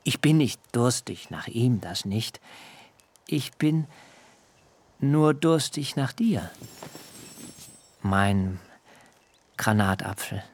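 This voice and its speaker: An actor playing Jakob, a young bridegroom, telling of his wedding night in a measured, hushed, intimate radio-drama reading. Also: mid-range voice, lively intonation, husky, full character voice. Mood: tender, hushed. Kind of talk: literary radio drama, first-person narration.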